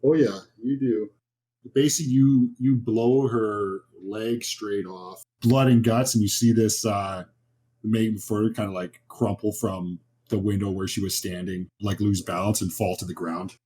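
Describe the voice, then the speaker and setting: A man running a horror tabletop game, describing scenes informally and haltingly as game master. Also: lowish voice, fairly even intonation, mellow, slightly rough.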